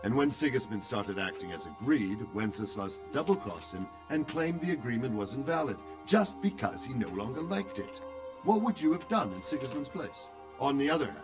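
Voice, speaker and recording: Over clear background music, an actor plays a wry, plain-spoken advisor in a game cutscene. His voice sits a little low and moves in animated swings as he gives his opinions. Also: lightly acted. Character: plain-spoken male advisor weighing rival kings. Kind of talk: video game cutscene acting. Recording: music behind the voice.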